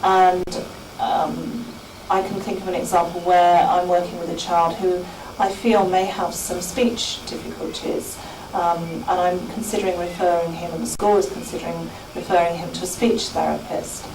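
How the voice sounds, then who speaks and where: A woman educator giving an unscripted, hesitant and measured explanation in an interview. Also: on the low side, little pitch movement. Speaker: educator working with schoolchildren. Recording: roomy, distant microphone, background noise.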